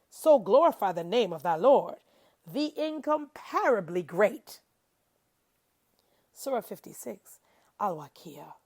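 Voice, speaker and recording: A woman reading scripture aloud in a solemn, declamatory style, with deliberate and emphatic phrasing. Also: mid-range voice, creaky, a little mellow. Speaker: reader of scripture.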